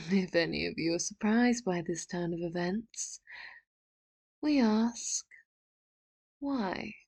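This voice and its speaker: A woman voicing a possessive, doting female villain in an audio roleplay, with a soft, intimate voice that slips into whispers and sweetly manipulative talk broken by quiet laughs. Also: on the low side, takes it slowly, long pauses, breathy edge, heavily acted. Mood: theatrical. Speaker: ASMR roleplay voice actor. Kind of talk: audio roleplay, addressing the listener.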